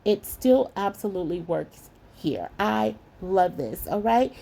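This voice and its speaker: A middle-aged woman hosting a craft channel, narrating a tutorial in a chatty way with a slightly hoarse voice and calling her viewers lovies. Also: medium pitch, very animated, a little slow. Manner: warm, chatty, calls viewers lovies and y'all.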